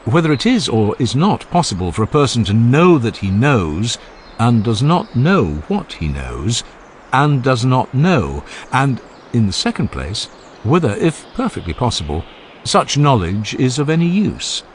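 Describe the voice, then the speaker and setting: A man's voice: mid-range voice, very animated, unhurried, a little hiss or hum, voice pushed up. A man reading the part of an earnest, genial ancient philosopher who questions relentlessly, step by step, in a dramatized audiobook dialogue. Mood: probing. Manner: rhetorical, emphatic, step-by-step questioning.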